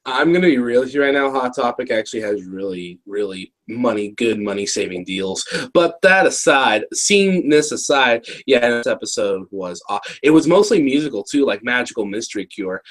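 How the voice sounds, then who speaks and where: Male co-host, American, medium pitch, slangy and jokey, casual review banter. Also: lively intonation, normal speed, few pauses, a bit bright. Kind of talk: casual joking review chat.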